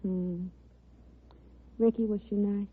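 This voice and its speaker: A tinny, narrow old radio recording of a coy sweetheart. She is affectionate and teasing, in a slightly breathy, husky voice. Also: mid-range voice, fairly even intonation, takes it slowly. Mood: puzzled, coy. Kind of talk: 1940s American radio drama acting.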